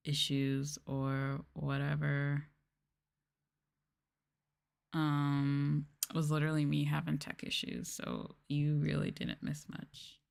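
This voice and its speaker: A woman's voice: deep voice, creaky, clean tone. A sleepy woman chatting casually and informally as a livestreamer, with a drowsy manner and sighs. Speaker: livestreamer, VTuber. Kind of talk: sleepy casual chat on an ASMR livestream, reading and answering viewers.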